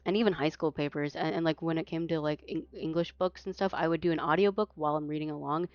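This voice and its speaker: A woman with a low voice, a native Mandarin speaker, chatting casually as a tournament caster. She rambles and corrects herself often. The sound is dry and a little dull, like a lo-fi home mic. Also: steady tone, quick pace, no room sound. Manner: rambling, self-correcting, frequent fillers. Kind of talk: casual post-match chat.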